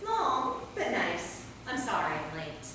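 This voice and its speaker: A woman playing a warm, chatty centuries-old vampire in a staged play reading, conversational and gently probing. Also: mid-range voice, wildly swooping pitch, slow. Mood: friendly, curious. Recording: echoey hall, distant mic, faint noise.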